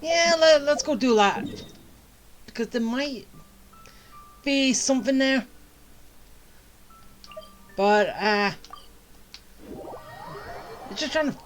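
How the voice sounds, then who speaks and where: A woman giving casual gameplay commentary as a streamer, halting and thinking aloud. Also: high voice, faint music behind. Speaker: game streamer.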